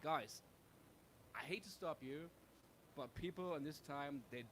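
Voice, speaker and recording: A man, a musician in an interview, talking casually and haltingly as he feels his way through his words. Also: a little high, a bit bright. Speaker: busking musician being interviewed. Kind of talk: casual anecdotal chat. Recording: clear background noise.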